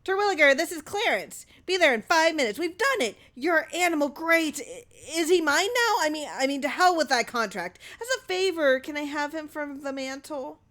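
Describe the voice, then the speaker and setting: Woman doing an excitable, vain male movie producer. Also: high-pitched, moderate pace, hardly any pauses, voice pushed up. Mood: excited, eager. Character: brash, insecure, vain male film producer.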